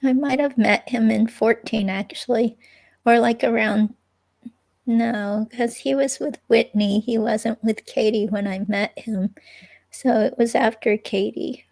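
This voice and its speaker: A woman reading the part of an evasive, unsure ex-girlfriend in a police interview, with a creaky, hesitant, rambling delivery. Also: a little high, steady tone, a little slow, a little mellow. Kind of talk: dramatic reading of an interview transcript, conversational.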